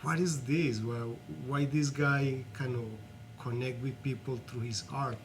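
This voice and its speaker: A man from Colombia with a Colombian accent, telling his story earnestly in halting non-native English as an interview guest. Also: medium pitch, fairly even intonation, a little hiss or hum. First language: Spanish.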